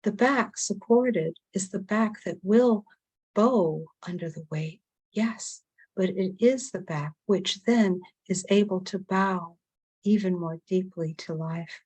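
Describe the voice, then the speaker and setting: A woman reading her own spoken-word poetry at an online open mic, with solemn, deliberate phrasing and repeated phrases. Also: mid-range voice, a little mellow, slightly rough. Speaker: poet reading own work.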